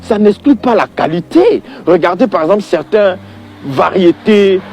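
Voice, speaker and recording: A man's voice: high-pitched, animated, moderate pace, vocal fry, darkish tone, a little hiss or hum. A man giving an informal religious opinion monologue, exasperated and emphatic, repeating points and addressing the listener directly.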